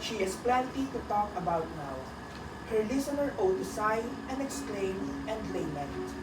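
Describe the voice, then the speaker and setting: A woman narrating a radio drama in a deliberate, solemn storytelling manner, reading a dramatic script. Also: mid-range voice, lively intonation, lightly acted. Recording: echoey, distant, very noisy.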